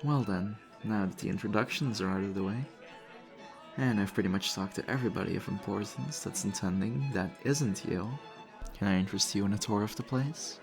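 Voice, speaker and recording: A man's voice: lowish voice, a little fast, vocal fry, a crowd in the background. A man playing a charming, teasing vampire host in an intimate, conversational roleplay style. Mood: modest, courteous. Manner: flirtatious, suave, conversational. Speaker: audio roleplay voice actor. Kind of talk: intimate ASMR roleplay acting. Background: background music.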